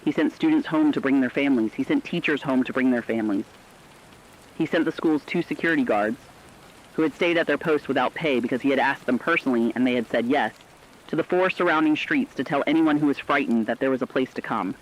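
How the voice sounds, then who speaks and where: A man's voice: medium pitch, fairly quick, dull audio, slight background noise, close to an everyday voice. A man playing an earnest radio correspondent, telling human stories in solemn, scripted narrative reporting. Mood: solemn, moved.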